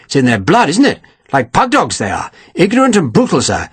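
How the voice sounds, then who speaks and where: Captain: man playing a high, husky, peevish officer, all stiff grievance. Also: wildly swooping pitch, a little fast, no echo, exaggerated voice. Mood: indignant. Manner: prim, complaining, sticks "sir" on everything. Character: fussy, indignant male army captain.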